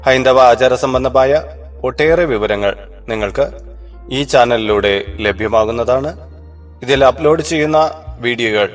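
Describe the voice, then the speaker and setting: Man narrating formal religious discourse over music. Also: medium pitch.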